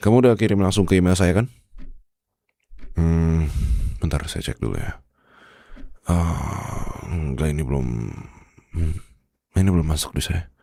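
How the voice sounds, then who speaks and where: A man's voice: low-pitched, measured pace, pauses often, dark tone, no room sound, studio quality. An Indonesian man acting a stern, proud office boss running a fever, in a conversational ASMR roleplay with hesitant muttering. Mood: weary, unwell.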